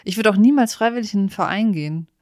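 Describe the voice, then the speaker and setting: A woman chats as a podcast co-host in a medium-pitched voice, recorded close and dry. She banters casually and has a playful, teasing way of replying. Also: rapid-fire, a little mellow, no room sound.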